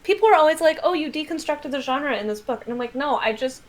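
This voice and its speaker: An American woman chatting casually as a guest author, telling stories in an animated, self-deprecating way with some swearing. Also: sits high, fast. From United States. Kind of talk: casual livestream chat.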